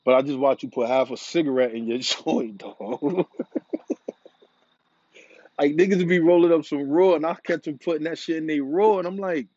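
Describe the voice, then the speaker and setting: A man's voice: a little high, normal speed, a little mellow, dry recording, dull audio. A man in a casual, rambling monologue, laid-back and profane, full of slang. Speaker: vlogger. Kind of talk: casual monologue about smoking.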